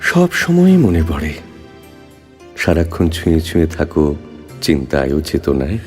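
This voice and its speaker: Man acting a wistful poet, very low voice, sweeping intonation, theatrical recited dialogue, yearning. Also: wildly swooping pitch, a little mellow, heavily acted. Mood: tender longing. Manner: slow, emotive, theatrical phrasing. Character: lovelorn male poet, wistful, yearning, brooding. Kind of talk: dramatic Bengali poetry recitation as phone dialogue. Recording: long reverb, music underneath.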